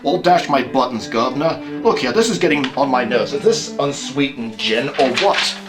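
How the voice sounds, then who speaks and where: A man voicing a rough, dim, hot-tempered crook henchman in an audio drama, blunt, slangy and grumbling. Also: mid-range voice, normal speed, loud music behind the voice, close to an everyday voice. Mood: rattled anger. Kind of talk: amateur audio drama acting.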